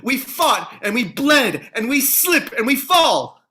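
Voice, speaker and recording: An actor playing a desperate, guilt-ridden police officer in a staged play reading, pleading and frantic. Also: male voice, very high-pitched, big pitch swings, takes it slowly, sharp tone, full character voice.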